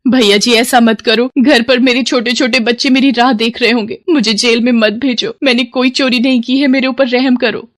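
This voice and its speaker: A woman voicing a poor, hardworking street food seller and anxious mother in an animated moral story, earnest and worried. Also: a little high, moderate pace, few pauses, no echo, studio quality, voice pushed up, natural voice. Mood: distressed, pleading.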